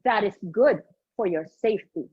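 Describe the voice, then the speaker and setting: A woman in her late fifties with a Filipino accent, delivering a humorous contest speech in a theatrical, deliberate manner over an online call. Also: medium pitch, lively intonation, breathy edge. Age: late 50s. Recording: muffled online video call.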